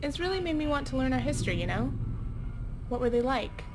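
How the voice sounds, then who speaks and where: A woman's voice: high voice, sing-song, fairly quick, noisy background. A woman playing a guarded young vault girl in video game dialogue, conversational and confiding. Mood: wistful. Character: guarded young vault girl curious about her family.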